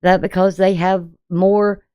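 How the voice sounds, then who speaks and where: A woman with a deep voice giving a casual daily astrology reading, talking bluntly and conversationally with frequent "you know". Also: flat delivery, slow pace, dark tone, clear, resonant tone, no echo, studio quality. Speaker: astrology channel host.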